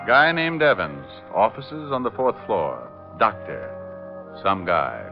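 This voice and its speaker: Low-voiced wry private detective, old radio. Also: male voice, takes it slowly, over music, exaggerated voice. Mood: musing. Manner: wry, casual, deadpan. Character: wry, cool male private detective, adult. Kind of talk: 1940s-50s radio detective acting. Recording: dull old-time radio recording.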